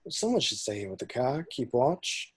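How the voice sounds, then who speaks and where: A man's voice: medium pitch, sing-song, moderate pace, husky. A man reading a laid-back, crude young slacker sidekick in an amateur film script table read. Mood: casual.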